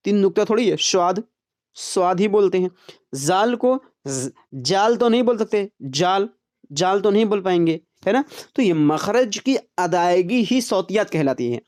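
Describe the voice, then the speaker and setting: A man's voice: sits high, darkish tone. Man teaching, repetitive question-and-answer style. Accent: Urdu-speaking Indian. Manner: explains by repeating terms and asking the class questions; checks in often with "is it not?" and "all right". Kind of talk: online exam-prep lecture.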